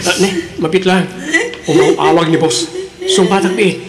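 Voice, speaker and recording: A man's voice: high voice, unhurried, loud music behind the voice. An actor with an Ilocano accent playing a friendly, helpful male coworker in a radio drama. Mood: concerned. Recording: noisy radio drama recording.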